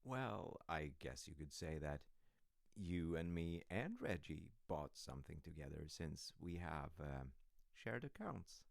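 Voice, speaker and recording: A sheepish, loving husband played by a male voice actor talks in a low, gravelly voice. His delivery is intimate ASMR roleplay, swooping up and down as he teases and rambles through hesitant asides. The sound is close and dry. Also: expressive, unhurried, no echo, full character voice. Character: loving, sheepish, sassy husband confessing to his sleepy partner.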